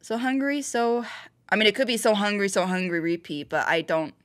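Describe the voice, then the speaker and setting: A woman's voice: medium pitch, brisk, fairly bright tone. A woman hosting a reaction video, thinking aloud in rambling, casual commentary with bursts of exclamation.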